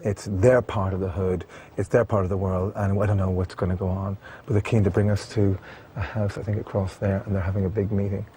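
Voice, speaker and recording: A low-voiced man reports outdoors in the streets, speaking in a hushed, uneasy way to camera. Faint background noise surrounds him on location. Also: level tone, a little fast. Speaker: documentary presenter on location.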